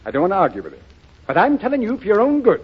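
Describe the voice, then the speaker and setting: Older American colonel, blustery, husky, highish voice, animated, old radio drama. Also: male voice, very fast, exaggerated voice. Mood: admonishing. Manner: blustery, fatherly, admonishing. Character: blustery cautious small-town colonel, older local politico. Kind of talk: 1930s radio drama acting. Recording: dull, muffled 1930s radio recording.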